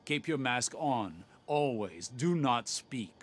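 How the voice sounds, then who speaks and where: Stern, clipped spymaster mentor. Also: male voice, medium pitch, lively intonation, takes it slowly, husky. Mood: stern, reproving. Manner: clipped, commanding, scolding. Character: stern, shrewd older mentor, controlling spymaster. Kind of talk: dramatic video game dialogue. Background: music.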